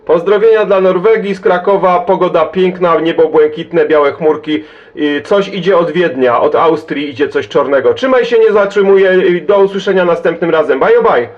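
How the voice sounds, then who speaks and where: A Polish man working as a CB radio operator, giving call signs and chatting in a brisk, friendly, informal way. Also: high voice. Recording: dull, lo-fi CB radio recording.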